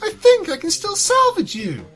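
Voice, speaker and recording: An excitable pink cartoon mare, high-pitched and hugely animated. She wails and pleads with melodramatic distress. Music plays behind her. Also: moderate pace, exaggerated voice. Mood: panicked, pleading. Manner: wailing, pleading, dramatic.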